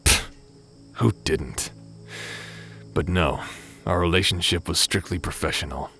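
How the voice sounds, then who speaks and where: A man's voice: deep voice, unhurried, vocal fry, pushed and bright, soft background music, heavily acted. A man playing a remorseful ex-pilot turned space pirate in an audio drama, speaking in a hesitant, wry, subdued way. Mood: wry, reflective.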